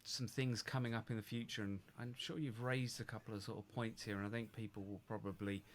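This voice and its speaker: A man with a fairly low voice hosts a relaxed live chat over a video call. He talks in loose, trailing sentences and laughs easily. A faint noise runs under the recording. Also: a little fast. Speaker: host of a live hairdressing stream. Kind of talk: casual live interview chat.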